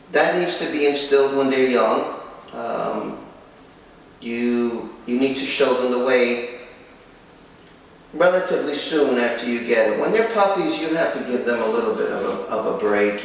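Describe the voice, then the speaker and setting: Male host, medium pitch, firm instructive delivery in short phrases, recorded very echoey and distant from the mic. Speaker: kennel owner and host. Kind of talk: presenting and interviewing for a dog training video. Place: room in a home. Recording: echoey room, distant mic, faint noise.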